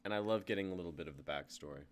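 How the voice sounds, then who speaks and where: A man's voice: deep voice, rapid-fire, noisy background. A man hosting a live stream, chatting enthusiastically and hyping up the group. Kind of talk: casual chat.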